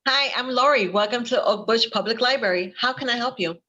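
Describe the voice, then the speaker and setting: A woman's voice: on the high side, fairly quick, sharp tone. A woman playing a friendly, helpful young librarian in a readers theater drama. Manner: helpful, chatty. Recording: slightly dull conference-call audio.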